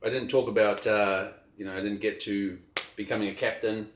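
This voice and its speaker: A middle-aged man speaks as an interview guest, low in pitch and unhurried, stopping and restarting his thoughts with plenty of fillers. The sound is roomy and echoey. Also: slight background noise. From New Zealand. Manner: relaxed, hesitant, many fillers and restarts. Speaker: guest, sailing captain. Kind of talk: casual interview chat. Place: outdoors on a trip.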